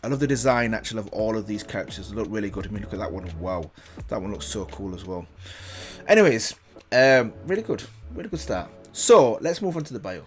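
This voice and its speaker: A man's voice: on the low side, expressive, a little fast. A man reading a text aloud as a reaction video host, breaking off for casual comments of his own. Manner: casual asides while reading. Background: loud background music.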